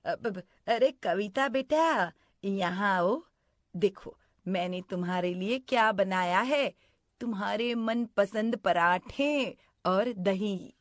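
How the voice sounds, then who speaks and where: A woman playing a cruel, scheming stepmother in an animated story, scolding or putting on sweetness. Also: mid-range voice, slow pace, no echo, clean studio sound, close to an everyday voice. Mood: falsely sweet. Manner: scolding, plotting, falsely sweet. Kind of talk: animated story character acting.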